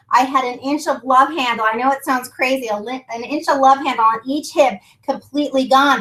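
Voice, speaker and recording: Bright, higher-pitched woman pitching products. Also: poor audio. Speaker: business conference call host and product presenter. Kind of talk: informal sales presentation. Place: conference call.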